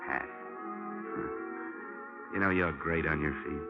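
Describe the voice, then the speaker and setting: An actor playing a cocky, wisecracking private investigator in a hard-boiled 1940s radio drama, wry and breezy. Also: male voice, extremely low pitch, slow, music in the background. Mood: flirtatious, breezy. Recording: dull vintage radio recording.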